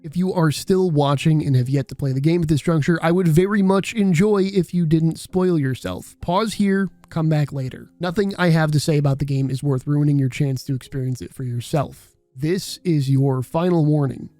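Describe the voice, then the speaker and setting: A man's voice: on the high side, moderate pace, hardly any pauses, dark tone, no echo, soft background music. A man reading scripted video essay narration in a wry, casual way.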